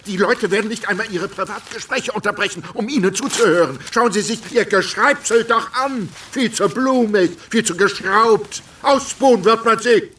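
An actor playing a vain, bombastic, quick-tempered count and politician in a radio drama, condescending and theatrical. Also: male voice, sits high, pushed and bright, distant mic, slight background noise. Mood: irritated, blustering. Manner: theatrical, pompous, swinging from smooth to blustering. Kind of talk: German radio drama acting.

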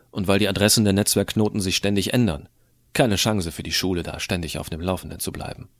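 A man playing a tech-savvy, rebellious high school student who narrates his story in an explanatory, colloquial way. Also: dry recording, close to an everyday voice. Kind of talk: scripted audio drama narration.

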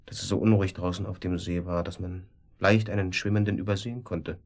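An actor playing a domineering, controlling man in a radio drama, pressing with questions and swinging between sharp and soothing. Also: deep voice, little pitch movement, fast. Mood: reassuring. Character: domineering, controlling man, cynical and coaxing, hiding guilt. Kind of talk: naturalistic radio drama acting. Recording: studio radio play.

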